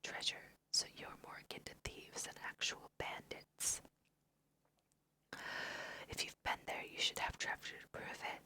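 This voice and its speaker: A woman's voice: a little slow, long pauses, bright, forceful tone. A woman whispering the lines of a scornful, accusing male elf hunter from a fantasy game. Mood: suspicious, accusing. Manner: contemptuous, accusing.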